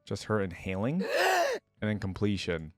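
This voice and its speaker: A woman's voice: rumbling low, moderate pace, a little mellow, no room sound, faint music behind. A woman giving casual, laid-back reaction commentary as a video host, often murmuring short responses.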